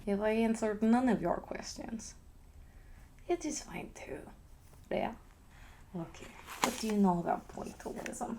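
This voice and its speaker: A woman playing a pretentious, eccentric female artist in a whispered roleplay, with a put-on foreign accent that slips, speaking in a haughty, deadpan way. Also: on the high side, measured pace, long pauses, noisy background. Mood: haughty, whispery.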